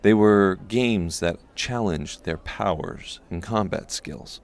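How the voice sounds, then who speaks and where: A man reading scripted narration for a dark fantasy audiobook. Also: deep voice, slow, vocal fry.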